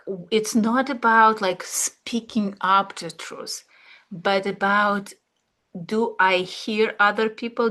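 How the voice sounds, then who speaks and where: A woman, an English speaker, teaching an art therapy class, speaking slowly and reflectively with fillers and pauses. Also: medium pitch, fairly bright tone. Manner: slow, searching, with fillers and pauses. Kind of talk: relaxed reflective class talk.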